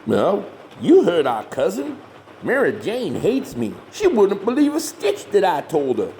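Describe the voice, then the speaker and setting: A shameless Southern hillbilly monster hunter, pitched high and swinging all over in pitch. He is glib, folksy and self-righteous, bossing his kin around in a comedy horror audio play, with faint noise behind him. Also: huge pitch swings, measured pace, dark tone, heavily acted. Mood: smug, persuasive. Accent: rural Southern US, hillbilly dialect. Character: bossy, shameless hillbilly monster hunter, scheming older brother.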